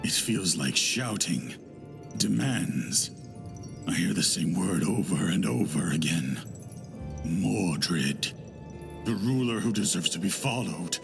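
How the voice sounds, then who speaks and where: A brooding knight speaks with solemn devotion. His voice sits very deep and heavily gravelly, and soft game music plays beneath. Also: expressive, takes it slowly, exaggerated voice. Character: grim, brooding male knight. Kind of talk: video game voice acting.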